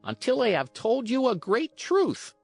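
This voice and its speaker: A narrator plays an old male talking cricket from a storybook, tiny and pitched high. He moralizes with calm patience, his pitch rising and falling in a lively way. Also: a little slow, husky, faint music behind, exaggerated voice. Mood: solemn warning. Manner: calm, preachy.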